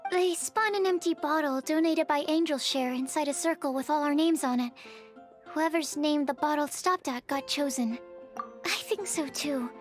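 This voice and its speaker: Woman acting shy studious girl alchemist, very high delicate pitch, earnest, precise wording, video game dialogue over music. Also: moderate pace, lightly acted. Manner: polite, precise, scholarly phrasing. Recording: background music under the voice.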